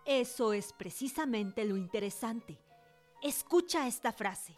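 A woman's voice: on the high side, expressive, measured pace, a little mellow, soft background music. An actress in a radio drama playing a cultured, determined young woman who speaks in an assured, questioning way. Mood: intellectually keen.

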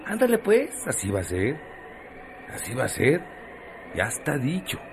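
A man's voice: medium pitch, huge pitch swings, a little slow, vocal fry, noisy background, heavily acted. An actor plays a weary, fatalistic man in a radio drama. He mutters his lines, resigned and bitter, as someone who has given in to his fate. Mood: bitter resignation.

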